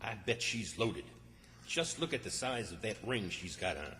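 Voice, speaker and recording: A man's voice: lowish voice, animated, normal speed, very noisy, close to an everyday voice. A man playing an exasperated, scheming country fellow in old-time radio comedy style, with a folksy, fussy manner. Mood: scheming, hushed. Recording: reverberant hall stage recording.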